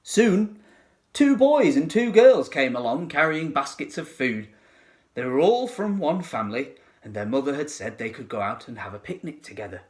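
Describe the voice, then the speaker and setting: A man narrating a children's storybook expressively, with dramatic, deliberate phrasing. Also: a little high, sing-song, a little mellow. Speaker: teacher reading to pupils.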